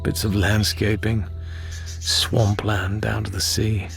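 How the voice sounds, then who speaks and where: Slow, deep British spy thinking aloud. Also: male voice, flat delivery, creaky, over music. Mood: wry, appraising. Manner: terse, wry, musing. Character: British secret agent's brooding, wry inner voice. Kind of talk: radio drama interior monologue.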